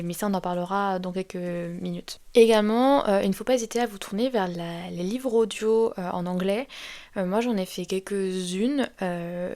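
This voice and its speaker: Young French woman, book vlogger, chatty rambling delivery, mid-pitched everyday voice. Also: a little mellow. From France. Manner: chatty, rambling with many fillers and restarts. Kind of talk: casual advice chat to camera.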